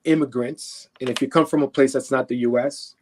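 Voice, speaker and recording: A man's voice: medium pitch, fairly even intonation, fairly quick, slight background noise. A man from Haiti, a guest in an interview, answering in an earnest conversational way, often repeating words as he thinks and checking in with "right?".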